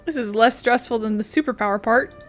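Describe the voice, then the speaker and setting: A woman's voice: on the high side, a little fast. A young woman giving casual, informal let's play commentary with a wry manner. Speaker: gaming video creator. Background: game music.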